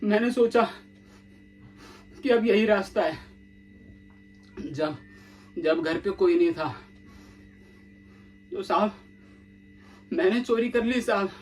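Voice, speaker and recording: A man's voice: high-pitched, slow pace, pauses often, slight background noise. A man playing a poor servant accused of theft, pleading in pain and calling his questioner "sir" over and over. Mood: desperate confession. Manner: pleading, repeating "sir" again and again. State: in pain from blows. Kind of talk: dramatic acting monologue.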